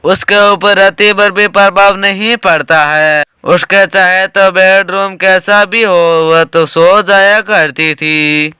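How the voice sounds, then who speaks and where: A man reads a scripted cartoon story as narrator. His voice is high and extremely clear, and the recording is very dry. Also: bright, forceful tone, no room sound.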